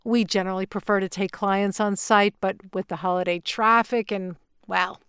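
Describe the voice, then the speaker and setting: A woman's voice: mid-range voice, fairly even intonation, normal speed, fairly bright tone, clean tone, dry recording, clean studio sound, lightly acted. A woman playing a pushy, evasive real estate agent in an audio drama, with a salesy, reassuring manner. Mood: businesslike.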